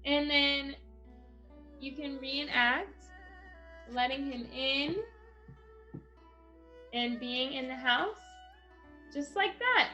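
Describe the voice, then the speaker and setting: A woman leads a children's craft lesson at a very unhurried pace, guiding each step with encouragement. Her voice sits a little high. The sound is somewhat dull, with music behind her. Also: bright, forceful tone. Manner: encouraging, instructional.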